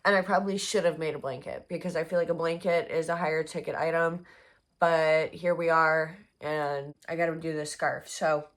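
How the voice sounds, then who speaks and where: A young woman chatting casually in a crochet vlog, her voice hoarse and congested, rambling with many fillers. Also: sits low, flat delivery, normal speed. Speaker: crochet vlogger.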